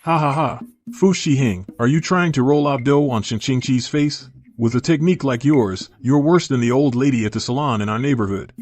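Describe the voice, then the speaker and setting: A man's voice: mid-range voice, normal speed, a little mellow, no room sound, close to an everyday voice. A man playing a teasing, easily exasperated bachelor friend in a dubbed short drama. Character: mocking, exasperated bachelor friend of a fallen tycoon.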